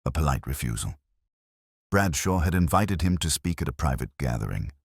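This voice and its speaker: A man's voice: rumbling low, very animated, normal speed, vocal fry, husky, no echo, studio quality, heavily acted. A man voicing a businesslike police investigator in a mystery story, reporting in a matter-of-fact way.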